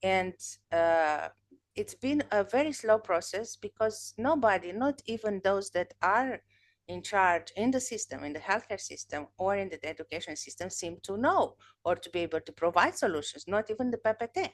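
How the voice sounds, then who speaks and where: A woman's voice: medium pitch, expressive, normal speed. A woman in her thirties, a native Romanian speaker, hosting a podcast in casual video-call chat, talking thoughtfully with frequent restarts. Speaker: podcast host. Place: home room, indoors. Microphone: video call webcam.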